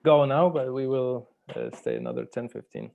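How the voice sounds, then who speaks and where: A man's voice: mid-range voice, wildly swooping pitch, moderate pace, vocal fry, darkish tone. A man casually moderating a group as a workshop facilitator. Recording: slightly dull, lo-fi audio.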